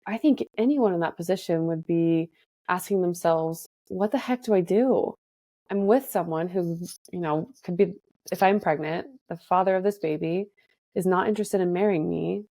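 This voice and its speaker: A woman therapist in her thirties speaks in a mellow, slightly low voice. She is reflective and careful, weighing her points as she goes. The recording is dry. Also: normal speed, dry recording. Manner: thoughtful, reflective, hedging. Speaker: therapist guest. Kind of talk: casual podcast conversation.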